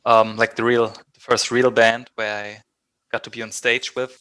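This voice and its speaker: A man's voice: mid-range voice, little pitch movement, moderate pace, sharp tone, smooth. A man from southern Germany with a German accent, speaking casually as an interview guest. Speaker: band vocalist, interview guest.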